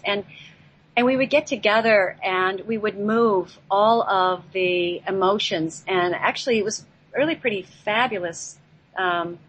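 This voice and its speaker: A woman telling a personal story on a talk show in a halting, reflective way, picked up by a laptop microphone. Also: medium pitch, a bit bright, slightly rough. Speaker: guest telling their life story. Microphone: laptop built-in microphone, not headset. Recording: noisy, off-mic laptop microphone.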